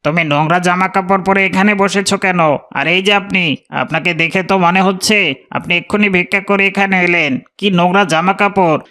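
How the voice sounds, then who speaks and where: A man playing a snobbish, haughty restaurant owner in a cartoon, a figure who talks down to the poor and flatters the important. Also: sits high, a little fast. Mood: scornful, irritated. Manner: haughty, scolding. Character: snobbish, rude male restaurant owner, fawning to important customers. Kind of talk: cartoon voice acting.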